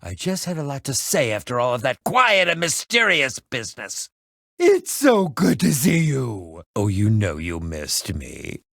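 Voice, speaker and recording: An actor voicing an eccentric, excitable middle-aged adventurer in a video game, with a pompous, wordy, theatrical manner. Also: male voice, mid-range voice, unhurried, creaky, no echo, clean studio sound, heavily acted. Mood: chatty, pleased. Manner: theatrical, pompous, wordy, swinging from muttering to exclaiming. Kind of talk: animated video game voice acting.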